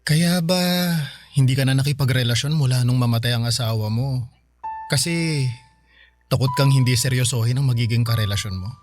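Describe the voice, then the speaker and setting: A man's voice: mid-range voice, normal speed, soft background music, natural voice. A Filipino man playing a sincere, flirtatious younger suitor in a radio drama, earnest and conversational. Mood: earnest flirting.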